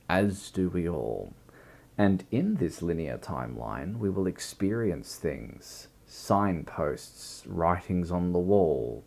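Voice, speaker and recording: A man with a very low, croaky voice hosts a reflective livestream chat. He talks slowly and musingly, stacking up long lists of thoughts as he goes. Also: darkish tone. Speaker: livestream host.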